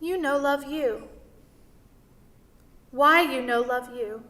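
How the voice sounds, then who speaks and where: A woman playing a bright, very slow tree spirit. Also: high voice, full character voice. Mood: tender, questioning. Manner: slow, simple broken grammar, emphatic. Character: tender, insistent female tree spirit in broken speech. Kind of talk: staged play reading. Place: theatre stage. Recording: reverberant theatre hall.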